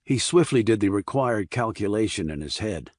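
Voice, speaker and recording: A man narrating a crime thriller audiobook in a measured, serious, scripted reading. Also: lowish voice, lively intonation, no echo, clean studio sound.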